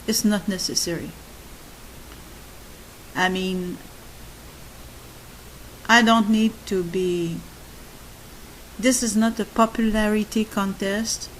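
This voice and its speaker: A woman with a soft, gentle voice and a non-native English accent speaks to her subscribers at a very slow pace. She pauses often, restarting her sentences and filling the gaps with "uh" and "um." A faint hiss sits under the recording. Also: medium pitch, steady tone, low-quality recording. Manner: slow, halting, with many fillers and restarts. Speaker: channel host. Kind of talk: spoken monologue to subscribers.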